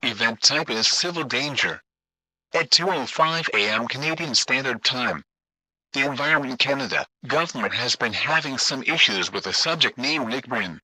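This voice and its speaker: Give a man's voice for an emergency broadcast announcement, formal and stiff. The tone is extremely bright and cutting, and the sound is dry and close, with no room around it. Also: mid-range voice, dry recording, low-quality recording. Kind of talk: scripted emergency alert announcement.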